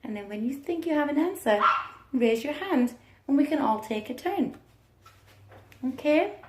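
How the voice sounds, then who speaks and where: A woman teacher reading aloud to young children in an encouraging manner, with questions and deliberate pauses. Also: high-pitched, lively intonation, very noisy. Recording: roomy, echoing room sound.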